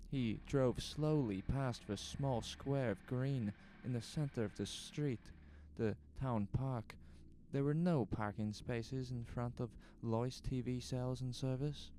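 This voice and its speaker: A man's voice: medium pitch, dark tone, a little hiss or hum. A man narrating a scripted horror story, with ambient sound effects behind him.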